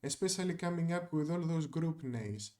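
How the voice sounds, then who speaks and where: A man's voice: a little high, normal speed, mellow. A young man whose first language is Greek, narrating a scripted video essay with dry, wry asides.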